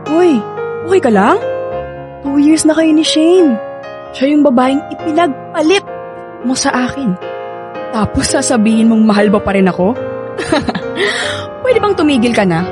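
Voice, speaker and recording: A hurt, defiant young Filipino wife in a radio drama. Her high voice swings widely with feeling, bitter and confrontational, over clear background music. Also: expressive, measured pace, pauses often. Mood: hurt, angry. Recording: music behind the voice.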